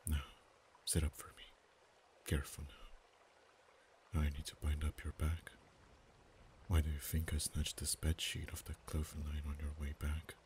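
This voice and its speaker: A man voicing an ancient, proud, possessive male dragon in an ASMR roleplay, speaking softly and slowly, sometimes in whispers. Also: monotone, a little hiss or hum. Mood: musing. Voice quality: very deep, soft-spoken. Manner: slow, measured, drops to whispers.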